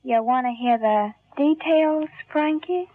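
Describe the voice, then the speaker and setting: An actress playing a scheming, hard-boiled gangster moll with a tough, wry, slangy delivery in an old radio crime drama. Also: female voice, high voice, slow, no room sound. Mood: coaxing, cool. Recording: tinny old radio broadcast, music behind.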